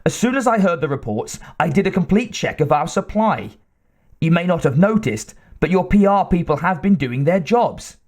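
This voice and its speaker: Man voicing a nasal, twangy little scientist, stammering, timid, slightly raised pitch. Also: big pitch swings, normal speed, darkish tone. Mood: nervous.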